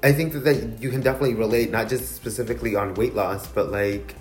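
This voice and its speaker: A man's voice: lowish voice, steady tone, fast, reverberant, soft background music. A man in his thirties, a podcast co-host, talking candidly in casual chat, laughing often and swearing freely. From Mexican heritage. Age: 30s.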